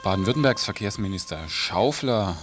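A man's voice: on the low side, animated, moderate pace, over loud music. A man hosting a radio news programme, putting questions to guests in an informal interview style.

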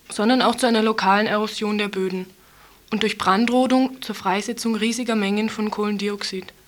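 A woman radio reporter narrating a scripted news report. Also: on the high side.

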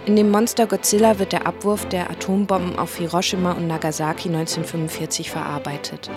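A woman's voice: fairly low pitch, fairly even intonation, fast. A woman presents a radio feature. She reads scripted narration at close range, with faint music underneath.

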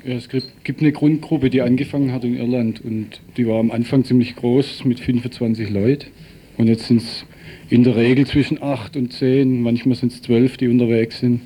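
A man with a mellow, darkish voice of average pitch gives informal interview answers. He speaks haltingly, as an activist searching for the right words. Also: steady tone, a little hiss or hum. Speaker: peace march participant being interviewed.

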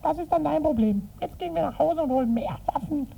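A tiny, scheming fetus creature with a high, squeaky voice full of creak. It talks back cheekily and defiantly, as in a comic radio play. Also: fast, warm tone, a little hiss or hum, rough recording. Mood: defiant, sly. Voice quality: squeaky, thin. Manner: cheeky, blackmailing.

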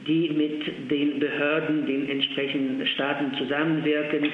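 Man, halting and full of uhs, tinny phone line, heavy echo. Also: on the high side, little pitch movement, a little hiss or hum. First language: Polish. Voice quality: halting, many filled pauses. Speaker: interview guest, language centre official. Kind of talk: phone interview. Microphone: telephone.

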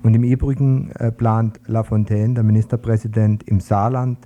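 Man, radio commentator, flat delivery, very dark voice, dull lo-fi sound. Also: lowish voice, moderate pace.

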